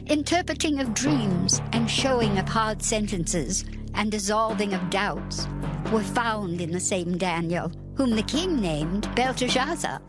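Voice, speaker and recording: A woman's voice: medium pitch, expressive, a little slow, natural voice. A woman playing a stately queen who counsels a king in formal, declamatory archaic English, in a dramatized scripture reading. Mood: earnest, reassuring. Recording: loud music under the voice.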